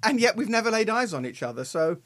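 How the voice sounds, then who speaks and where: Sniffly guy, lively up and down voice, hammy and playful. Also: high voice, fast talker. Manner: playful and theatrical. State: sniffly with a cold. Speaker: podcast co-host. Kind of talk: casual chat.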